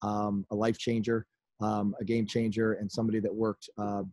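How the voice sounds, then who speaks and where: A man in his forties, a head basketball coach with a Chicago-area American accent, speaking haltingly at a postgame press conference over a video call. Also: fairly low pitch, completely flat delivery, a little mellow, no room sound, clean studio sound. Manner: halting, many restarts and fillers. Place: indoors in front of a team backdrop. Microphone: video call webcam.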